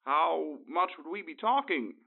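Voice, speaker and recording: A man's voice: on the high side, expressive, a little slow, creaky, full character voice. A man playing an earnest, wary family man in a comedic audio drama, speaking with hesitant, stammering politeness. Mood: tempted, cautious. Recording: thin, phone-like sound.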